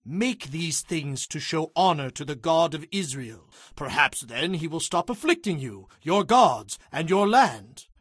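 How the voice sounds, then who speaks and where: An actor playing a solemn, commanding religious leader of ancient times in a dramatized audio Bible, earnestly exhorting. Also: male voice, a little high, very animated, measured pace, hardly any pauses, a bit bright, breathy edge, no room sound, studio quality, heavily acted. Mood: solemn warning.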